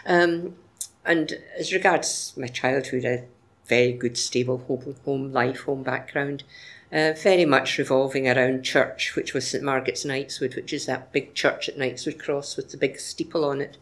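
A woman's voice: very deep voice, steady tone, normal speed. A woman from Glasgow with a Glaswegian Scottish accent, a church member answering questions in a plain, matter-of-fact way. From Glasgow, Scotland. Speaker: church member being interviewed.

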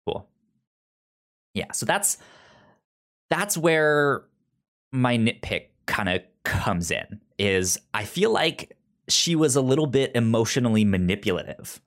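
A man's voice: mid-range voice, sing-song, slow pace, long pauses, a bit bright. A man co-hosting a film review podcast over a video call, talking casually with many stammered restarts. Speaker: podcast co-host. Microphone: remote video call.

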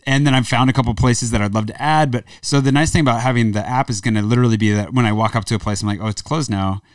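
A man's voice: medium pitch, steady tone, very fast, dry recording, far from the mic. A man hosting a podcast, chatting casually across a table while tasting cheese, rambling with lots of fillers and restarts. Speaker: podcast host. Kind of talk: casual chat.

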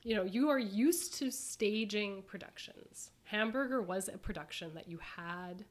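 A woman hosting a conversational panel interview, speaking haltingly and circling back to rephrase her questions. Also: medium pitch. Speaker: host.

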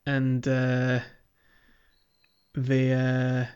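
A man's voice: medium pitch, completely flat delivery, extremely slow, a little mellow, clear, resonant tone. Man chatting on a dull-sounding recording. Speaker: podcast co-host. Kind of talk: casual gaming podcast chat.

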